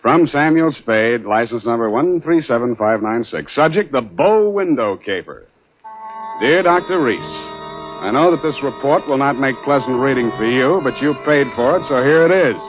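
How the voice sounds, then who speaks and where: An American actor playing a breezy, hard-boiled private detective in a deadpan, teasing 1940s radio style. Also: male voice, mid-range voice, normal speed, close to an everyday voice. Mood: businesslike, wry. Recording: muffled old 1940s radio recording, music behind.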